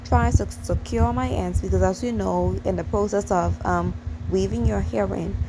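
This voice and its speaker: A Bahamian woman talks viewers through a hair tutorial in a chatty, repetitive way. Her voice has a gravelly fry to it, and clear background noise sits under the recording. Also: medium pitch, steady tone, normal speed. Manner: chatty, repeats herself, addresses viewers as you guys. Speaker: channel host.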